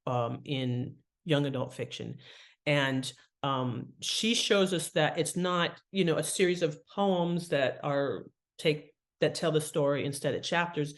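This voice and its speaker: A woman speaking as an expert guest in an interview, giving conversational advice and explaining with emphasis on key words. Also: sits low. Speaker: guest author and writing expert.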